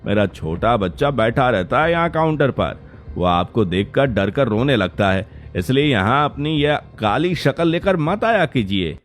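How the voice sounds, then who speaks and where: A man's voice: mid-range voice, lively intonation, a little slow, natural voice. A man voicing a rude, annoyed shopkeeper who scolds customers in a cartoon story. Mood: irritated, dismissive. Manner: irritated, scolding.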